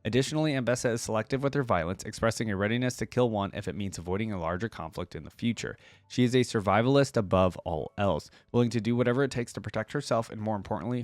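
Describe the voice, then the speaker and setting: A man's voice: fairly low pitch, no room sound. A man reading text aloud as a reaction video host, dropping in casual asides between passages.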